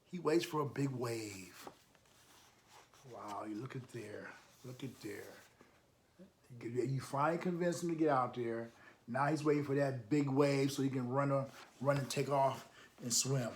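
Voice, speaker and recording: A man hosting a children's storytime, reading aloud and chatting to young listeners in an animated, encouraging way, repeating key phrases. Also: medium pitch, measured pace, pauses often, a little hiss or hum. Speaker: host of a children's storytime, youth club coach.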